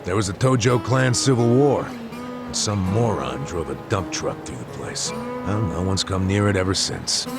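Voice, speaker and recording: A jaded middle-aged ex-cop in a game cutscene. The voice is low and very animated, sliding through sardonic, scheming turns, with loud music playing underneath. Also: male voice, normal speed, gravelly. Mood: grim, matter-of-fact. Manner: sardonic, casual, goading. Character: wry, jaded middle-aged male ex-detective, streetwise and scheming. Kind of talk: video game cutscene voice acting. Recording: dramatic music behind the voice. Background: loud background music.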